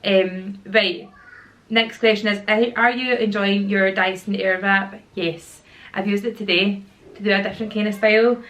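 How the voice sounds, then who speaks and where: Chatty Glaswegian mum with a sore throat. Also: female voice, mid-range voice, fairly even intonation, moderate pace, fairly bright tone. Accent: Glaswegian Scottish. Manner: chatty, rambling, slangy. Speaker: YouTuber and mum. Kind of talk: casual Q&A chat to camera. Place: at home with children nearby.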